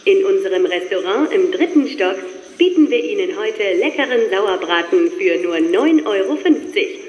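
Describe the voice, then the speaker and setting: A woman reading scripted shop announcements in a lively, sing-song manner. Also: mid-range voice, moderate pace. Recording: echoey, hall-like, dull lo-fi sound.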